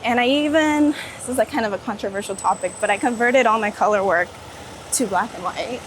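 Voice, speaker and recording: High-voiced woman, chatting on the street. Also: brisk, rough recording. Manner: enthusiastic, informal, peppered with "like". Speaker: street photographer being interviewed. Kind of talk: casual interview chat while walking. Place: outdoors on a city street. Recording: outdoor city street traffic noise. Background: street ambience and passing vehicles.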